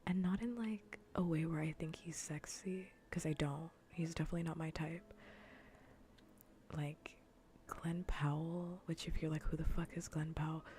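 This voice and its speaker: A woman hosting a livestream, chatting casually in a soft, breathy, whispery ASMR voice and rambling with dry humour. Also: low-pitched, fairly even intonation, normal speed, slight background noise. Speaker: livestream host. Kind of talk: casual ASMR stream chat.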